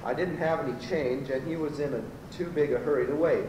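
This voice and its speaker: A man's voice: on the high side, fairly quick, natural voice. A man playing an earnest, rambling teenage goat who works as a rental clerk in an amateur radio comedy. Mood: rambling, explaining. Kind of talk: amateur old-time radio comedy acting. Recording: echoey, distant, noisy amateur tape.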